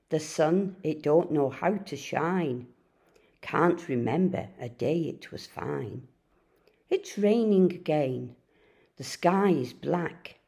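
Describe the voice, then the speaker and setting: A woman reads poetry with dramatic, deliberate expression. Her voice is low and a little husky, with a soft, dark tone, and it moves through a lively range. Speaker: poet and reader.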